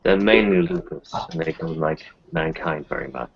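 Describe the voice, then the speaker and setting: A man with a gravelly, husky voice, playing a blunt but reassuring character in a werewolf roleplaying game. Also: a bass voice, moderate pace, muffled recording, full character voice. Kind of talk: improvised in-character tabletop roleplay.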